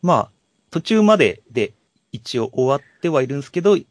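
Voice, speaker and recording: A man co-hosting a casual podcast chat, speaking politely and haltingly in an apologetic way. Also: a little high, big pitch swings, dry recording, studio quality. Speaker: podcast co-host.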